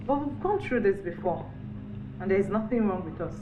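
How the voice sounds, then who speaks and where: A woman's voice: medium pitch, lively intonation, normal speed, echoey room, muffled recording, noisy background, lightly acted. An actress playing a weary, aggrieved wife who stands her ground, in a naturalistic screen drama. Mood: guarded.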